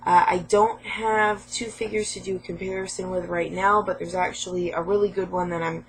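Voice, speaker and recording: A woman vlogging casually about her game pickups, hoarse and a little sniffly from a cold, chatty and rambling with lots of fillers. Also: on the low side, steady tone, moderate pace, a little hiss or hum, rough recording.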